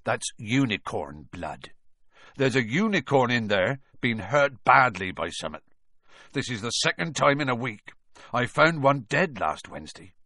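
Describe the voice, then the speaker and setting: A man reading an audiobook aloud, voicing a huge, gruff but kindly male gamekeeper with a rustic dialect. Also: mid-range voice, big pitch swings, unhurried, pushed and bright, dry recording, studio quality, exaggerated voice. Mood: earnest.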